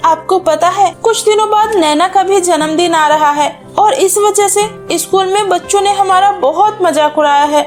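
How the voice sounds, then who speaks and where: A woman voicing a poor, proud and earnest schoolgirl in a cartoon story, with a pleading, wounded manner. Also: very high-pitched.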